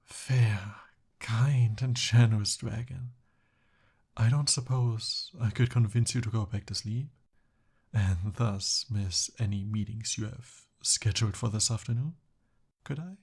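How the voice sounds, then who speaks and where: Slow, husky young prince, acted by a man. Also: on the low side, a little mellow. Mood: pleading. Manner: formal, courtly, hesitant flowery speech. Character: young royal prince, captive of a dragon, smooth-talking and eager. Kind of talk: ASMR audio roleplay.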